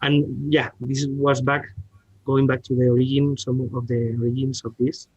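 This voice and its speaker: A man with a Spanish accent giving a halting technical explanation as a guest expert, often restarting his words. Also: medium pitch, flat delivery, darkish tone, husky, no echo, poor audio. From Spain. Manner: halting, searching for words with repeated starts. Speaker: R&D director, podcast guest. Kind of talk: technical presentation and discussion.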